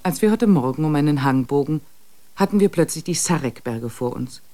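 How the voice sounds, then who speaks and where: An actor playing a reflective expedition diarist, narrating a literary travel journal in a measured way for a radio play. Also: male voice, a little high, animated, mellow, lightly acted. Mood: awed, uneasy. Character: reflective expedition diarist, slightly uneasy before mountains.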